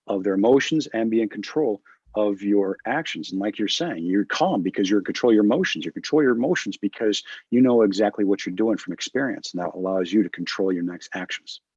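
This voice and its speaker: Man, low voice, dull lo-fi audio, dry, thoughtful podcast guest. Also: lively intonation, brisk, a little mellow, breathy edge, dry recording. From United States. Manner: reflective, repeats words while thinking. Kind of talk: casual conversation.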